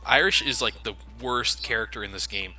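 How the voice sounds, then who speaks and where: A man talks over gameplay with a very bright, sharp tone. He jokes sarcastically and swears freely. His pitch sits in an ordinary middle range. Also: fairly quick, low-quality recording. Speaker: let's play commentator. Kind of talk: casual gameplay commentary.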